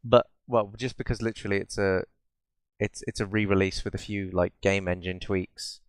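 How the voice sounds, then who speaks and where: A man's voice: sits low, fairly even intonation, moderate pace, smooth, no echo, clean studio sound. A British man co-hosting a podcast, chatting casually about games in a sweary, sardonic, rambling way. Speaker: podcast co-host. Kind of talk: casual bantering chat about video games.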